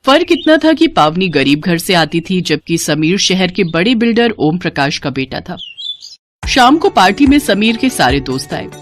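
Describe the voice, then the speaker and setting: A woman's voice: lowish voice, sing-song, moderate pace. A woman reading a scripted story as its narrator.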